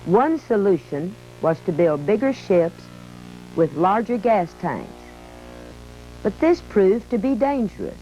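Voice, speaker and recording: A woman's voice: medium pitch, very animated, slow pace, mellow. A woman voicing an old retired army biplane who tells its story in a folksy, reminiscing way, with an American accent, in scripted museum narration. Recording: dull lo-fi audio with faint music.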